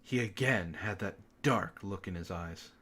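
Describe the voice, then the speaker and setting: A man's voice: sits low, unhurried, fairly bright tone, husky. A man reading amateur fiction aloud expressively, breaking in with sarcastic, exasperated comments as a book club host.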